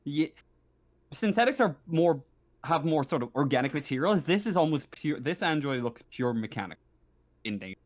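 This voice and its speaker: A man working as game master, improvising narration of a tabletop roleplaying game in a halting way, often stammering and repeating words. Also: a little high, sing-song.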